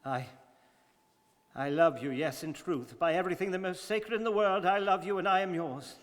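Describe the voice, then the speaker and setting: A man playing a reserved, precise English gentleman in a radio drama, speaking formally and with stoic restraint. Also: sits high, normal speed, a little hiss or hum, lightly acted. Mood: earnest. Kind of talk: stage radio drama acting. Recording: very reverberant studio hall.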